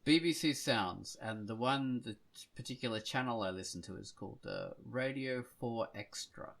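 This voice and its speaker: A man's voice: medium pitch, normal speed, gravelly, a bit bright. A man hosting a podcast in casual chat, rambling and thinking aloud as he goes. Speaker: podcast host.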